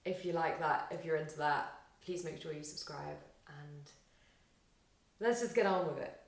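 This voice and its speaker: A young woman vlogging casually to camera, chatty and rambling, her voice slightly husky and tired after a weekend out. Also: sits low, moderate pace, recorded from a distance. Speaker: vlogger and aspiring actor. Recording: roomy echo, faint music.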